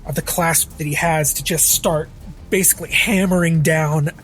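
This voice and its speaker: A man playing a tabletop role-playing game, improvising his character's actions in the third person in a halting way. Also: on the high side, fairly even intonation, a bit bright. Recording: background music under the voice.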